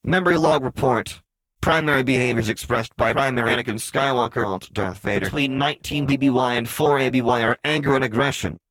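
A man's voice: mid-range voice, fast, a bit bright, no room sound, pitched above the performer's own voice, lightly acted, low-quality recording. A man voicing a droid's translated readout in a clinical, pedantic, formal report manner. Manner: clipped, formal report delivery.

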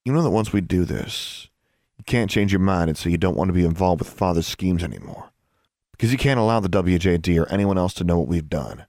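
A man acts out a young male wizard for an audiobook. He is a protective older brother with a quick temper. His words come out snapped or growled, recorded dry and close. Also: low voice, lively intonation, a little mellow, dry recording. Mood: grave, pleading. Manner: growling, snapping, sighing through clenched teeth.

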